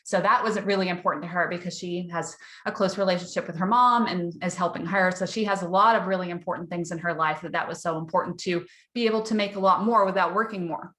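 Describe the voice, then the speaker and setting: A woman's voice: lowish voice, fairly even intonation, fast talker, sharp tone, roomy sound. A woman hosting a casual webinar for dog trainers, chatty and upbeat as she tells client success stories, her voice wearing out. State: losing her voice. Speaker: dog trainer business coach, webinar host.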